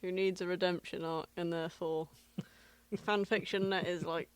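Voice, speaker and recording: A woman's voice: lowish voice, flat delivery, normal speed, clear, resonant tone, dry recording, rough recording. A woman co-hosting a podcast in casual, wry comedic chat. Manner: wry, jokey. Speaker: podcast co-host.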